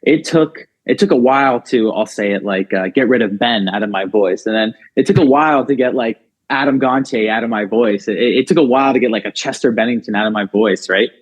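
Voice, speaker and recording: A man's voice: medium pitch, a little fast, slightly rough. A man who sings in a rock band, talking casually as a podcast guest, chatty with lots of fillers and restarts. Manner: talkative, many fillers and restarts, animated. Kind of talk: casual chat. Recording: dull, lo-fi sound.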